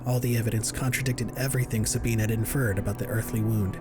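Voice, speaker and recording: A man's voice: on the low side, steady tone, fast talker. A man reading scripted narration for a science fiction audio drama. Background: music.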